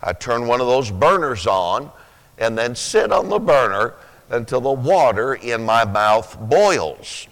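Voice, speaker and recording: A man in his sixties with a rough, sleepy-sounding voice, preaching a sermon slowly, with many pauses, then rising with fervent emphasis. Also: mid-range voice, sing-song, fairly bright tone. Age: 60s. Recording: reverberant hall.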